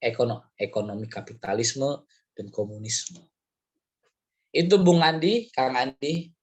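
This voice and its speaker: A hoarse man, his voice rough from a cold, lectures on faith and culture in a loose, conversational way. He circles back often and leans on short filler words. His pitch sits in an ordinary middle range. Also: a little slow. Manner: chatty, rambling, frequent "ya" fillers. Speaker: Islamic study speaker. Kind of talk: casual religious lecture.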